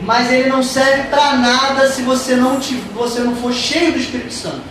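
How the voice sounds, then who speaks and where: High-voiced woman preaching in an echoey church. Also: fairly even intonation, fairly quick. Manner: emphatic, repetitive preaching. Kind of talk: sermon. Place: church hall. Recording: echoey church hall from far off, noisy.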